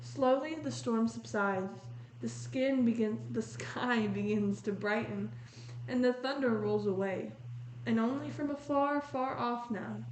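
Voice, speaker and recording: A woman reading a picture book aloud in a measured, descriptive storytelling manner, pausing to comment on the illustrations. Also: medium pitch, darkish tone. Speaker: read-aloud host. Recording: roomy echo with faint noise.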